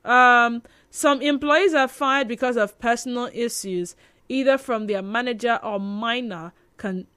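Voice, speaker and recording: A woman with a Nigerian accent hosting live radio, reading listener messages aloud in an expressive, conversational way. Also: fairly high pitch, a little slow, smooth, dry recording. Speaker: radio show host. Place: radio studio.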